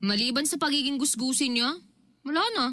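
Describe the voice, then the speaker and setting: A woman's voice: high-pitched, fairly quick, sharp tone, no room sound. A woman acting a lazy, careless younger sister and young mother in a Filipino radio drama, flippant and whiny in manner. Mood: dismissive.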